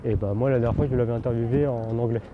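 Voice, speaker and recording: A man's voice: mid-range voice, fairly even intonation, very fast. A man born in France, talking candidly while riding a bike outdoors, rambling with many fillers and restarts. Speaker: vlogger. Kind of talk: casual spoken monologue. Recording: dull outdoor recording with background noise.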